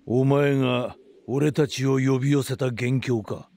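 An actor playing a terse, calm, grave male fighter in an anime game. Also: medium pitch, a little slow. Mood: calm, serious. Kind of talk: Japanese anime game voice acting. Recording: clear background noise.

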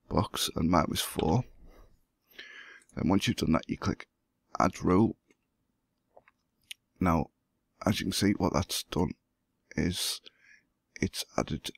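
Hoarse gravelly man explaining steps. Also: low voice, dry recording. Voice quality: gravelly, husky. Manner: plain step-by-step explaining. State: hoarse from flu, losing voice. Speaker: YouTube gaming channel host. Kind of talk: screen-recorded tutorial.

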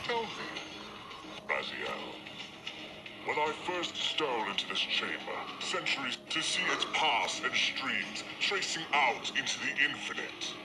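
An actor playing an ancient, fatalistic villain in a video game, speaking in grandiose, theatrical oratory. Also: male voice, mid-range voice, very animated, measured pace, loud music behind the voice, poor audio.

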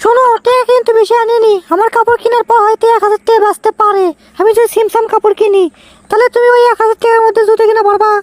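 A man's voice: very high-pitched, little pitch movement, rapid-fire, no echo. A man voicing a bossy, impatient young girlfriend in a comic cartoon, scolding with a Bogura accent from northern Bangladesh. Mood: exasperated, reasoning. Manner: scolding, exasperated.

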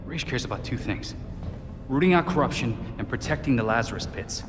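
A man's voice: medium pitch, lively intonation, a little fast, lightly acted. A man acting a young, serious, loyal vigilante in a dramatic video game cutscene. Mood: somber. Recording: hall reverb with music behind. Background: music.

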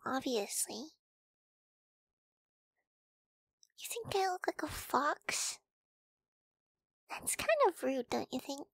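A woman playing a timid, sweet, wary young wolf girl in an ASMR roleplay, speaking softly and hesitantly, often in whispers, close to the microphone. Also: sits high, gravelly, dry recording, exaggerated voice. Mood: playfully offended. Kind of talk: ASMR girlfriend audio roleplay.

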